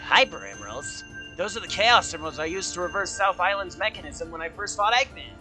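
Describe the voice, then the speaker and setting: A man voicing a cocky, loyal, wisecracking teenage hedgehog hero with brash, joking delivery in an animated fan dub. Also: high voice, a little fast, over music, low-quality recording. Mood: cocky, casual.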